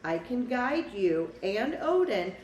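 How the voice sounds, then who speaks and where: A woman's voice: fairly high pitch, sing-song, slow pace, darkish tone. A woman voicing a helpful, courteous cardinal bird guide from a children's storybook. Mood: helpful, earnest. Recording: echoey room, distant mic, faint noise.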